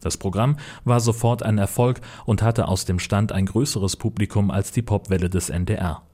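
A male report narrator reads from a script in a low voice. The tone is dark and soft-edged. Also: steady tone, normal speed.

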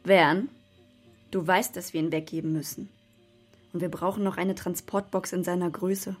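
A woman's voice: on the low side, flat delivery, moderate pace, faint music behind, lightly acted. A middle-aged woman playing a practical scientist mother, matter-of-fact and kindly, in a children's radio drama.

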